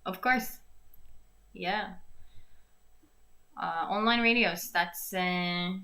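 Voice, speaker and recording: A woman's voice: on the low side, extremely slow, pushed and bright. A young woman from Norway speaking English with a Norwegian accent, chatting casually in a Q&A, laughing often and searching for words. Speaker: singer-songwriter hosting a Q&A. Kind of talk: casual live Q&A chat.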